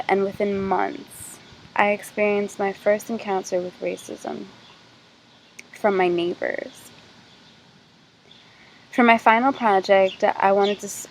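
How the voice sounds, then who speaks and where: A woman's voice: medium pitch, slight background noise, low-quality recording. A young American woman giving a class presentation and interviewing a guest, reading prepared figures with small stumbles. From United States. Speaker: student interviewer.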